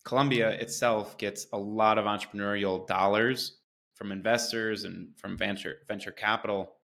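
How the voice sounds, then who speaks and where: Man, from the United States, low voice, flat intonation, earnest, enthusiastic, interview guest. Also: moderate pace, creaky. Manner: earnest and enthusiastic, with repeated restarts. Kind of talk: conversational interview.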